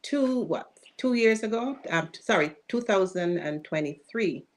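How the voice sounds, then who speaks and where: A woman with a Barbadian accent, a guest introducing herself in a polite, measured way. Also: mid-range voice, lively intonation. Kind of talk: casual interview chat.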